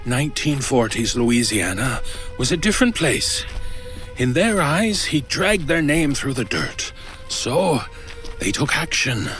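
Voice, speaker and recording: A man's voice: mid-range voice, very animated, a little slow, a bit bright, soft background music. A man playing an exasperated, skeptical older antiques dealer in an old time radio drama, grumbling and dryly sarcastic. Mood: grave storytelling. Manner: grumbling, dry sarcasm, weary storytelling.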